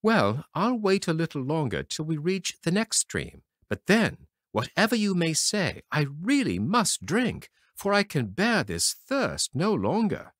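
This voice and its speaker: A man's voice: on the high side, sing-song, a little slow, few pauses, a bit bright, no room sound, studio quality, voice pushed up, exaggerated voice. A man doing an eager young boy. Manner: eager, insistent. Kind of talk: storybook reading.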